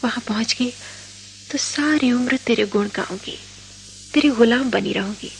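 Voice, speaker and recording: A shy young college girl in love for a radio play. Her voice is on the high side, coy and emotional, with a hesitant, dreamy way of speaking. Noticeable noise sits behind the recording. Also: a little slow, vocal fry, low-quality recording. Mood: dreamy longing. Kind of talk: Hindi radio drama acting.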